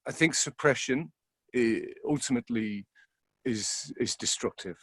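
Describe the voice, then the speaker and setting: A man being interviewed as an actor, talking reflectively and informally in a halting, searching way, often repeating words. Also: medium pitch, a bit bright, breathy edge, no echo.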